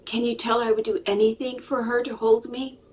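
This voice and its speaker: A woman's voice: on the high side, level tone, a little fast, a little mellow, far from the mic, slight background noise, natural voice. A woman voicing a grieving widowed husband in a personal story, tearful and pleading, repeating himself. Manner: pleading, repetitive, breaking into whispers.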